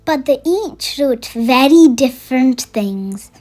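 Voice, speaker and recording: A storyteller reading a children's bedtime picture book aloud in an expressive way, made for young listeners. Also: sits high, takes it slowly. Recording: music under the voice. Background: music.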